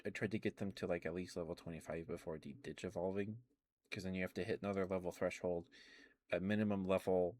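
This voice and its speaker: Deep-voiced man rambling softly in ASMR. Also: steady tone, fast talker, no room sound. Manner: hesitant, many ums and restarts, soft laughs. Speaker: ASMR streamer. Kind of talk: rambling ASMR game chat.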